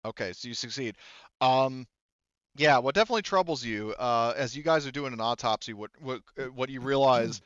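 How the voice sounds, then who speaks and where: Smooth-voiced man running a game, slightly dull audio. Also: mid-range voice, a little fast, a bit bright, dry recording. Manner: informal, frequent swearing. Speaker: game master. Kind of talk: casual improvised tabletop game narration.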